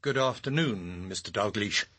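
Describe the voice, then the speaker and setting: A brusque intelligence official in a radio play. His husky voice moves widely in pitch as he speaks in a brisk, argumentative manner. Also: lively intonation, fairly bright tone. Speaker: actor in a radio drama. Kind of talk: radio drama dialogue.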